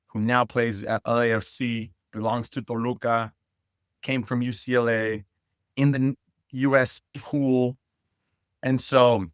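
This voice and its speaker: Guy from socal chatting as a guest, earnest and informal, mid pitch, pretty dry audio. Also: a bit bright, clear, resonant tone, no echo. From Santa Ana, California. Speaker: interview guest, youth soccer advocate. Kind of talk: conversational personal storytelling.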